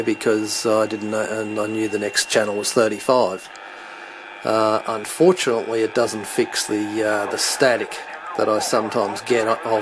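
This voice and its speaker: A middle-aged man with a fairly low, very even voice explains equipment off the cuff. His delivery is halting and hesitant, often searching for the next word. It is a home recording with clear background noise. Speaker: CB radio hobbyist demonstrating his equipment. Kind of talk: unscripted hobby demonstration. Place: indoors at home beside radio equipment. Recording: clearly noisy home recording with radio static.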